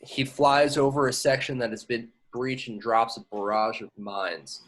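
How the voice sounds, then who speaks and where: Medium-pitched man narrating a script. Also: measured pace, fairly bright tone. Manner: stumbles on invented place names. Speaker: action-line narrator. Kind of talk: reading screenplay action lines.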